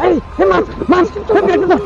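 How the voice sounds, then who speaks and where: Male actor, eager village fisherman for comic horror skit: very high, exclaiming voice, muffled recording, music behind. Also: fast talker, vocal fry. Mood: excited. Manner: excited, repetitive exclaiming. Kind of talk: amateur Bengali horror-comedy skit acting. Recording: dull lo-fi sound with loud music.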